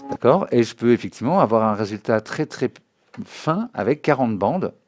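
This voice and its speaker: A man giving an informal spoken software tutorial in a didactic way, often checking that the listener follows. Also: mid-range voice, very animated, normal speed. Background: synthesizer and music demo sounds.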